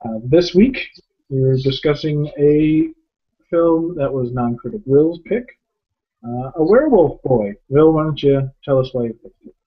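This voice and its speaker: Higher-voiced American man chatting about movies. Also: lively intonation, a little slow. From upstate New York. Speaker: movie review co-host. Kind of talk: casual film discussion. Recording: dull lo-fi recording.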